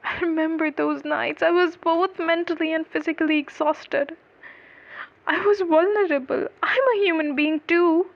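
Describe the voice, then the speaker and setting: A woman narrator playing an anguished male defendant in a dark story, pleading and exasperated as he justifies himself. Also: extremely high pitch, fairly even intonation, moderate pace, gravelly, lo-fi sound, pitched above the performer's own voice. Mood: desperate pleading.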